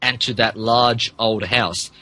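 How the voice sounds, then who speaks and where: A man's voice: medium pitch, steady tone, slow pace, bright, forceful tone. A man narrating a comic dramatic reading as a podcast co-host, dropping in casual asides.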